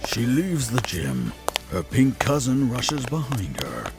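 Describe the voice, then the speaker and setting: A man's voice: mid-range voice, huge pitch swings, slow pace, music in the background. A man narrating a scripted story.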